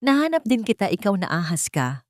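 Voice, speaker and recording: A woman's voice: mid-range voice, big pitch swings, brisk, dry recording, clean studio sound, voice pushed up, lightly acted. A woman storyteller voicing a spiteful female villain in a drama, taunting and accusatory. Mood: gloating menace.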